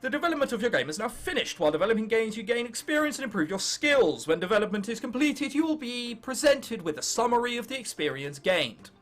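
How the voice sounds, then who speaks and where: Young male gamer, English accent, animated swooping delivery, jokey and sweary, high voice, music behind. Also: quick pace, hardly any pauses. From England. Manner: jokey, sweary, self-interrupting. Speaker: gaming video host. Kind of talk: casual let's play commentary, reading game text aloud.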